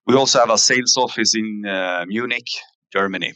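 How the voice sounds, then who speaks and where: A man giving a company investor presentation in a halting way, pausing often with fillers. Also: on the low side, pushed and bright, clean tone, dry recording. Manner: halting, hesitant delivery with frequent fillers. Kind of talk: investor earnings presentation, semi-scripted.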